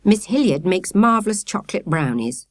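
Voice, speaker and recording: A woman's voice: mid-range voice, sing-song, normal speed, dry recording, clean studio sound, lightly acted. A woman voicing a cheerful, hearty female scout leader with an exclamatory manner in an audiobook. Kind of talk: audiobook character voice.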